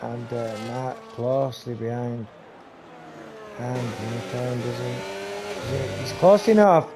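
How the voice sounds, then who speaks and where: A man giving live commentary on a sim race, talking to viewers and losing his voice, with race car engines loud behind him. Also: slow pace. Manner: excitable, talks to viewers, rising to high bursts on action. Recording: noisy stream with engine sound. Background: race car engine noise.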